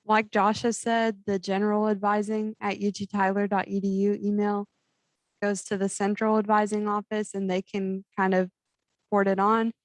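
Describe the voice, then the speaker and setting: A woman chairing a student government meeting over a video call, brisk and upbeat as she calls on people. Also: mid-range voice, dry recording, low-quality recording. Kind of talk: running a meeting.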